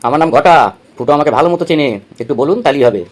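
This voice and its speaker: A man's voice: a little high, very fast, close to an everyday voice. A man playing a glum, self-pitying balding friend in his thirties, grumbling plaintively in an amateur comedy cartoon. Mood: calling out.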